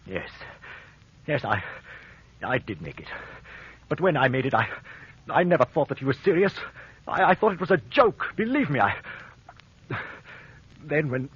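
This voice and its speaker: An actor playing a guilty, frightened man, defensive and halting, in 1940s radio mystery style. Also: on the high side, big pitch swings, husky, exaggerated voice. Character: guilty, frightened man hiding a deadly wager. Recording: dull old-time radio recording.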